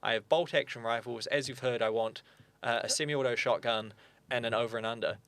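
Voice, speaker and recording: A man's voice: medium pitch, steady tone, a bit bright, no room sound. Earnest young New man. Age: young adult. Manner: earnest, fluent advocacy with quick asides. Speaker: guest, firearm owners' spokesperson. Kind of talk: casual interview chat.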